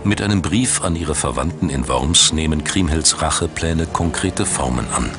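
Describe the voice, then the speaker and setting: Male documentary narrator, very deep, measured and dramatic, music bed underneath. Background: background music.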